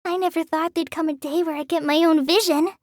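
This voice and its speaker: A woman's voice: very high voice, fairly even intonation, fairly quick, dry recording, clean studio sound. Shy young ranger girl. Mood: gentle. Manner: hesitant, apologetic, sighing. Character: shy young female forest ranger apprentice. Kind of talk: game character voice lines. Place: studio booth.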